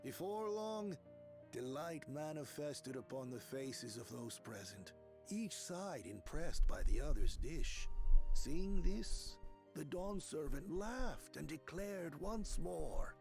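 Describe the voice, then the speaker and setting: A man's voice: fairly high pitch, expressive, slow pace, gravelly, over music, heavily acted. An actor voicing a solemn male narrator who recounts a remembered legend in a game. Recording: game score playing behind.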